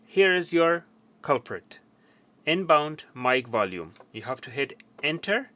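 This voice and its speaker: A man giving an informal technical tutorial, explaining step by step in a somewhat halting way. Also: fairly high pitch, very animated, sharp tone. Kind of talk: informal how-to instruction.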